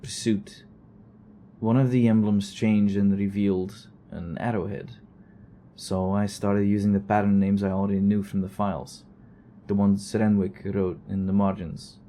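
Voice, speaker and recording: A man's voice: fairly low pitch, level tone, measured pace, mellow, slight background noise, close to an everyday voice. An actor playing a weary, wry male investigator who records a conversational log in an audio drama, with a dry, sardonic, rambling manner. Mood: musing, dry.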